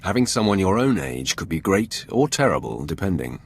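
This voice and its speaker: An actor playing a commanding, composed dark warrior lord in a video game, with a deep gravelly voice and measured, formal delivery. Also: male voice, big pitch swings, exaggerated voice. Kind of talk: video game dialogue acting.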